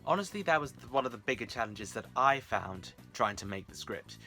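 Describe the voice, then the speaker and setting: Bright-voiced British man, self-deprecating vlogger. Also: mid-range voice, expressive, brisk, creaky, soft background music. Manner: self-deprecating, rambling, wry. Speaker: amateur audio drama creator and vlogger. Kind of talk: casual chat to camera, giving beginner advice.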